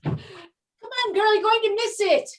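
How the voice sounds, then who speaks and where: A woman's voice: very high-pitched, measured pace. An actress in a stage play playing an impatient older tourist who scolds and urges her companion along. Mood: impatient, bossy. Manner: scolding, urging. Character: bossy, impatient older female tourist, eager sightseer.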